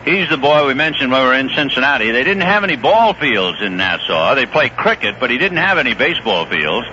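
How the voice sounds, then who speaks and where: A man calling a baseball game play by play on the radio, chatting easily about the players between pitches. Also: brisk, a little hiss or hum. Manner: relaxed, conversational storytelling between pitches. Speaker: play-by-play announcer. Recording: dull lo-fi 1970s radio broadcast.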